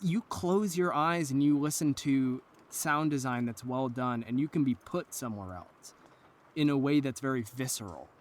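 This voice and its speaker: A man's voice: mid-range voice, moderate pace. A man talking earnestly and articulately as an interview guest about his creative work in audio drama. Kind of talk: thoughtful interview talk.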